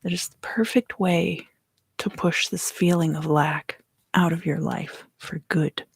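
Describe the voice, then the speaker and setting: A woman giving an ASMR tarot reading in a soft, unhurried whisper. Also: on the low side, vocal fry, slightly rough, no room sound. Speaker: tarot reader and ASMR host.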